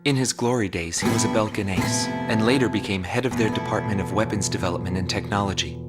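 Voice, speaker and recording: An actor playing a documentary narrator, reading a formal, steady briefing. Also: male voice, fairly low pitch, fast, close to an everyday voice. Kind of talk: scripted narration. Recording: music bed behind.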